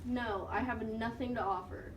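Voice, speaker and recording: Generous, penniless puppet friend, played by a woman; high register, echoey amateur recording with noise. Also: fairly even intonation, moderate pace, lightly acted. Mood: let down. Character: broke, generous puppet friend living in a box. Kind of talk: amateur school puppet show. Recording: echoey room, distant mic, background noise.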